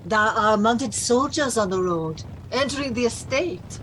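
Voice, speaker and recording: An actress playing a watchful, formal governess in a castle household in a radio play, speaking precisely and earnestly. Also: female voice, fairly high pitch, expressive, a little slow, a bit bright. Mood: uneasy. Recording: clear background noise under the voice.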